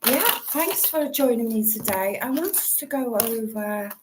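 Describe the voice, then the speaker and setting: A woman's voice: expressive, measured pace, very noisy. A woman, hosting a casual true crime livestream, rambling and apologetic as she fiddles with her setup. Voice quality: low voice. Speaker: true crime channel host.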